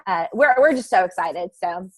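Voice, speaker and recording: A woman co-hosting a podcast, chatting excitedly in a casual panel and laughing often. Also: fairly low pitch, normal speed, dry recording. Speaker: podcast co-host.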